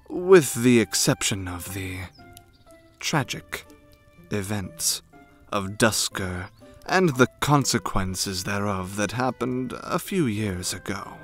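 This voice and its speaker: A man acts out a sinister young nobleman's retainer for an ASMR roleplay. His voice is low and his delivery unhurried, formal and scornful. He sounds coldly devoted and suspicious, with music playing faintly underneath. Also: a bit bright. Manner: formal, measured, haughty, ominous. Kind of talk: ASMR roleplay, fan audio drama.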